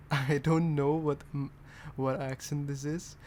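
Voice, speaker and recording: A young man from Indonesia with an altered British accent, talking softly and rambling in a boyfriend ASMR roleplay. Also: a little high, flat delivery, takes it slowly, slight background noise. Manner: soft, rambling, self-deprecating.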